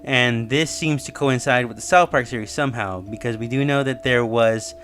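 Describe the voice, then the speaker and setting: A man's voice: mid-range voice, normal speed, a bit bright. A man giving casual, rambling explanatory commentary as the host of a theory video. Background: music under the voice.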